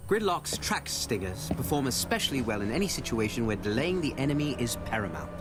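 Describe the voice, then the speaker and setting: Man acting as formal special forces director for a game, measured briefing, expressive pitch, music clearly behind him. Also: natural voice. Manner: formal, measured, deliberate delivery. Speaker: game announcer introducing operators. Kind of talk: scripted narration.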